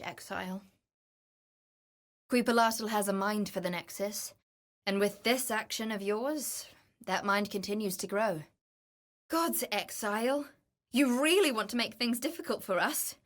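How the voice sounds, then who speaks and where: A woman playing a wry, adventurous treasure-seeking explorer in a video game, speaking in a measured, theatrical, knowing way. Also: a little high, animated. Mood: wry, exasperated. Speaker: game companion character. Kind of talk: scripted video game voice lines.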